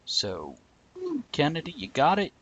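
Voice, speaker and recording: A man's voice: fairly high pitch, huge pitch swings, a little slow, fairly bright tone, slight background noise. A man hosting a livestream with casual, wry, joking banter and informal step-by-step explanations. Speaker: livestream show host. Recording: dull lo-fi livestream audio.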